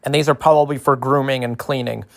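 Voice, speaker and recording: Someone doing a casual asmr explainer, mid pitched voice, detailed with little playful asides. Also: fairly even intonation, fast talker, gravelly. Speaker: host explaining bugs. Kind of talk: informal educational ASMR explainer.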